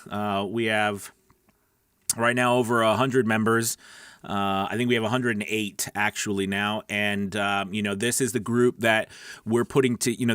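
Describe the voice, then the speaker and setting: Guy hosting a voiceover coaching livestream, medium pitch, rambly and chatty, lots of uhs, dry close mic. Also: fairly bright tone, dry recording. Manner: chatty, rambling with many fillers. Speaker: host. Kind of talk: live-streamed coaching talk.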